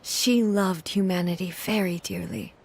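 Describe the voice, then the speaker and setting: A woman playing a solemn, dignified ruling goddess in a video game, speaking formally and with measured earnestness. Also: on the low side. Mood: tender, reflective. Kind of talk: video game character acting. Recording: faint music behind the voice.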